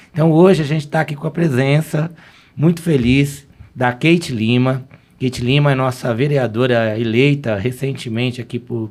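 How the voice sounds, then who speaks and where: A man hosting a podcast, his voice sitting at a mid pitch. He talks in a chatty, easygoing way, looping back with fillers and restarts. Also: a little mellow. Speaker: podcast host.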